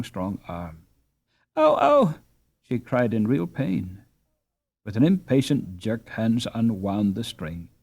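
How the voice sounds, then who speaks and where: Man, audiobook narrator, expressive storybook reading, mid-pitched, highly animated delivery.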